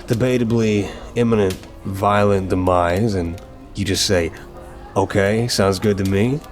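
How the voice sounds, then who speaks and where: Guy acting as a mafia boss boyfriend, lowish voice, teasing n earnest, asmr roleplay w music behind. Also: lightly acted. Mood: teasing, affectionate.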